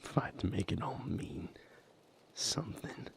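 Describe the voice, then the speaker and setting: A man playing an anguished lover in an intimate romantic audio roleplay, speaking in a breathy, gravelly near-whisper with halting, fraught pauses. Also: fairly low pitch, lively intonation, exaggerated voice. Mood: anguished, weary. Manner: halting, hushed, fraught delivery with long pauses. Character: anguished male lover comforting and seeking comfort, vampire romance.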